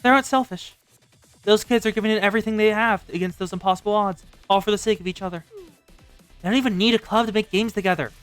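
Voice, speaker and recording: A woman's voice: medium pitch, quick pace, clear, resonant tone, soft background music, natural voice. Woman playing an earnest, loyal schoolgirl.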